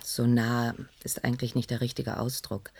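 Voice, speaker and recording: Tired shaken woman scientist, breathy husky voice, hesitant, earnest, radio drama. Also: very deep voice, little pitch movement, moderate pace, darkish tone, heavily acted. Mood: subdued, explanatory. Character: weary, shaken female researcher recounting a disaster. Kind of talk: German radio play acting.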